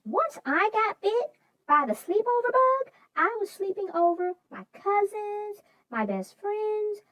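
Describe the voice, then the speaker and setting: A woman's voice: extremely high pitch, very animated, takes it slowly, a bit bright, dry recording, exaggerated voice. A woman voicing a chatty, upbeat young sidekick character in a children's read-aloud, chirpy and agreeable. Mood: cheerful, chatty. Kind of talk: scripted chat after a children's read-aloud.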